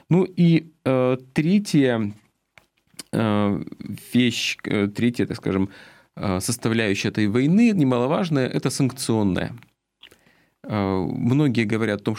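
A man from Russia delivering political commentary in an earnest, explanatory way, with a halting delivery and frequent pauses. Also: medium pitch, a little mellow. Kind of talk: spoken political commentary, semi-prepared talk.

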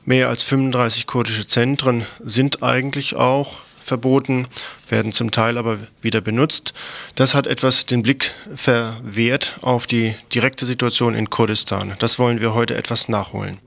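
A man's voice: medium pitch, steady tone, normal speed, creaky, a bit bright. A man presenting radio news in a scripted introduction.

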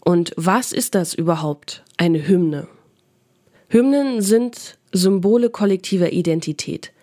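A woman's voice: on the low side, animated, measured pace, mellow. A woman reading scripted narration for a radio documentary feature.

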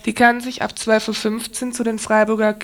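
A woman presenting on local radio, reading announcements and listeners' letters aloud in a measured way. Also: fairly high pitch, little pitch movement.

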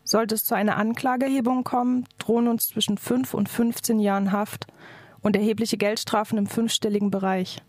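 A woman's voice: a little high, flat delivery, normal speed, darkish tone, dry recording. A woman activist reading out a scripted political speech. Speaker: activist speaker.

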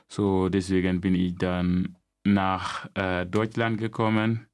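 A young man from Gambia, telling his story in halting German as an interview guest. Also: low voice, flat delivery. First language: not German. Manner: halting non-native German, many restarts and fillers. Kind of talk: casual interview.

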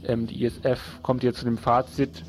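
A man with a medium-pitched voice presents event announcements on the radio. He is informative and explanatory, occasionally halting as he looks for words. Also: flat delivery.

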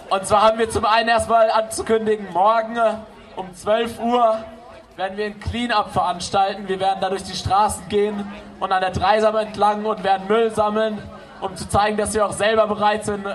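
A man's voice: sits high, a little hiss or hum. A young man working as a climate strike organizer, making announcements and answering questions outdoors. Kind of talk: rally announcements and interview answers. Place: outdoors in a city square.

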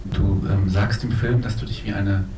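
Low-voiced male moderator, roomy sound. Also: steady tone, moderate pace. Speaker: interviewer, moderator. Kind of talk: informal questions at a film talk. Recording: echoey room, distant mic, faint noise and rumble.

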